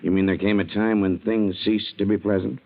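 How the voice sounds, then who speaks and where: A man's voice: lowish voice, flat delivery, brisk, close to an everyday voice. A man playing a stern, probing police captain in 1940s radio acting, clipped, blunt and authoritative. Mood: probing, businesslike. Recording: dull vintage 1940s radio recording.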